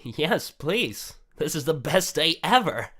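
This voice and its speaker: A man voicing an excitable, whiny young child while reading a visual novel aloud. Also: a little high, slow, pitched above the performer's own voice, exaggerated voice. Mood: excited, whiny.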